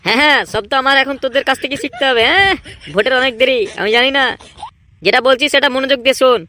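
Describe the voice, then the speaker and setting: A man acts out a scheming, short-tempered village gang boss for a comedy cartoon. The voice is high and forceful, racing along in repetitive, emphatic scolding over faint noise. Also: rapid-fire. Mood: bossy, scolding. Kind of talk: exaggerated comedy cartoon dialogue.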